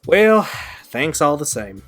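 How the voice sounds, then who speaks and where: Man acting as kind, playful young guy, animated. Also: on the high side, takes it slowly, faint music behind, exaggerated voice. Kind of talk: audio drama acting.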